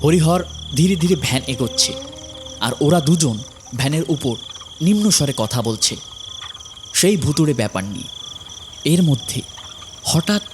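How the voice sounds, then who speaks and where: A man's voice: a little high, expressive, normal speed, pauses often, noisy background. Man narrating a dramatic horror story.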